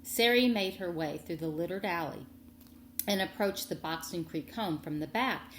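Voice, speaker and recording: A woman narrating a storybook aloud in an expressive reading style. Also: fairly low pitch, normal speed. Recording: echoey room, faint background noise.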